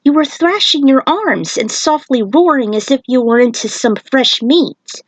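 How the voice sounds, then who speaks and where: A woman's voice: high voice, lively intonation, measured pace, no echo, voice pushed up. A woman voicing an earnest male shaman warrior who explains things in a fan fiction story. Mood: amazed, teasing. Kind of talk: character voice in fan fiction reading.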